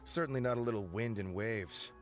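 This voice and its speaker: An actor playing a stoic male bodyguard who speaks flatly and tersely. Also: lowish voice, brisk, faint music behind, natural voice.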